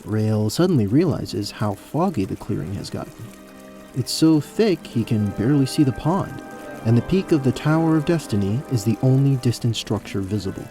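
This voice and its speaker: A man's voice: medium pitch, expressive, moderate pace, warm tone, faint music behind. A man delivering scripted narration for a fantasy audio drama as its storyteller.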